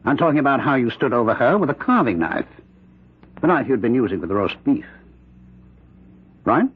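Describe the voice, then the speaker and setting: A sinister prisoner who claims a killer's spirit, in an old radio play. His husky voice swoops widely as he taunts and orates. The recording is dull and lo-fi. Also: mid-range voice, big pitch swings, moderate pace. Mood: taunting menace. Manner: taunting, theatrical, oratorical. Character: sinister prisoner possessed by Jack the Ripper's spirit. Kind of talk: 1970s radio drama acting.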